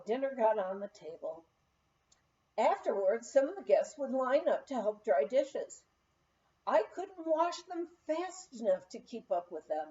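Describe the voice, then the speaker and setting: A woman reading a humorous memoir aloud in an expressive, anecdotal storytelling manner. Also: a little high, lo-fi sound. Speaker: volunteer audiobook reader. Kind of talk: scripted narration of a humorous memoir.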